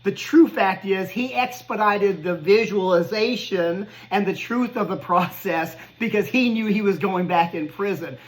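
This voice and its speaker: A man's voice: high voice, a little slow, few pauses, low-quality recording. Earnest man in his fifties giving life advice. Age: 50s. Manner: earnest, conversational, laughs at himself. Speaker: podcast host. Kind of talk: motivational monologue.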